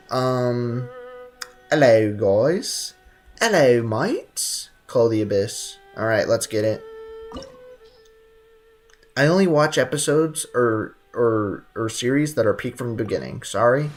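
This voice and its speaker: Casual, slangy young male game streamer. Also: medium pitch. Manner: slangy, playful, banters with viewers. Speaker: video game live streamer. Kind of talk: casual chat while gaming. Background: game music.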